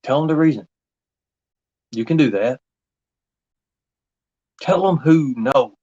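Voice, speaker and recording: A man's voice: medium pitch, animated, takes it slowly, warm tone, dull audio. A man livestreaming in an insistent, repetitive, confrontational way. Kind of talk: impassioned monologue to an absent person.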